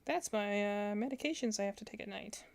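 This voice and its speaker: A woman's voice: medium pitch, a little fast, vocal fry. A woman giving casual, unscripted reaction commentary as a streamer, rambling and thinking aloud as she goes. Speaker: streamer reacting to game videos.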